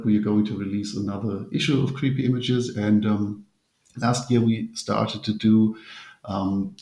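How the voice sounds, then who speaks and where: A man's voice: fairly low pitch, creaky, a little mellow. A native German man speaking English as an interview guest, explaining his publishing work earnestly and choosing his words carefully. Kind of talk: conversational interview. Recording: roomy, echoing sound.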